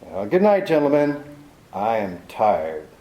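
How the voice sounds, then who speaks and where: A mellow, lively voice belongs to a hardboiled private eye who stays cool and sardonic, played by a man. He speaks dryly and laconically, in the style of 1940s radio drama. The room around him sounds echoey. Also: on the low side, slow pace, a little hiss or hum. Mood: wry. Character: cool, wry male private detective, hardboiled.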